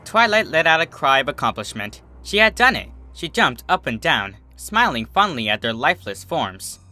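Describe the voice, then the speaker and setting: A man giving an expressive scripted narration of a story, as the host of a readings channel. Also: a little high, normal speed, soft background music.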